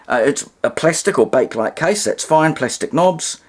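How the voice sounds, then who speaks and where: A man's voice: a little high, expressive, normal speed. A man presenting a casual hands-on demonstration, explaining things in a rambling way with asides and alternative terms. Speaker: presenter demonstrating vintage radio testing.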